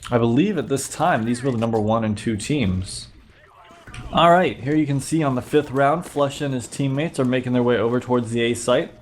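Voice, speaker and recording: A man's voice: mid-range voice, normal speed, a little hiss or hum. Slightly nasal man with a cold. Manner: relaxed play-by-play narration. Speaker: YouTube host reviewing gameplay. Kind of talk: casual gameplay commentary.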